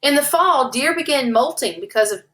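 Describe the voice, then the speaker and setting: Expressive teacher reading aloud, sing-song. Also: female voice, high voice, normal speed. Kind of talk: read-aloud storytelling lesson.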